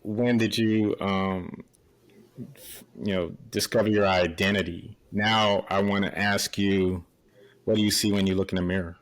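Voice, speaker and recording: A man hosting a casual podcast, relaxed and affirming, often answering his guest with short agreements. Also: fairly low pitch, a little slow. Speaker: podcast host.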